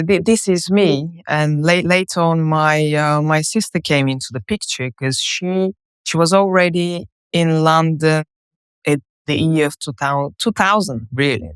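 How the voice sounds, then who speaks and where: A woman's voice: low voice, smooth, no room sound. A woman in her thirties from Bulgaria, with a Bulgarian accent, telling her story as a podcast guest. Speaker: podcast guest, company co-founder. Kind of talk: conversational interview storytelling.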